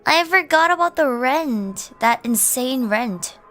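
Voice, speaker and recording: A woman live streamer chatting casually while slightly ill, her voice rough and lower than usual, giggling often between rambling remarks. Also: lively intonation, slow, sharp tone, soft background music.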